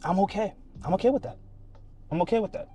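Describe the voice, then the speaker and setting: A man's voice: sits high, quick pace, mellow, slightly rough. A man co-hosting a casual podcast chat, musing aloud with frank, swearing talk.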